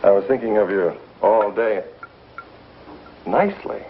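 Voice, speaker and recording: Teasing frontier man, lowish voice with lively swings, folksy and wry, old TV western. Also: a little slow. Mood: interested. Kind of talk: 1950s television western acting. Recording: tinny, noisy 1950s television soundtrack.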